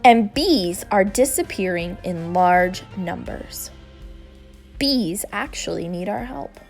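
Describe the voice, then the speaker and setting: A woman reading a children's book aloud in an expressive, scripted narrating style. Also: medium pitch, slow pace, gravelly, soft background music. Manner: expressive, acts out dialogue. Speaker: storybook reader.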